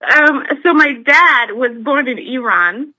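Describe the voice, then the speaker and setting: A woman's voice: sits high, expressive, takes it slowly, bright, forceful tone, dry recording, rough recording. An American woman calling in to a talk show, speaking hesitantly with many fillers and restarts. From United States. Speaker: caller. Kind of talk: phone-in call.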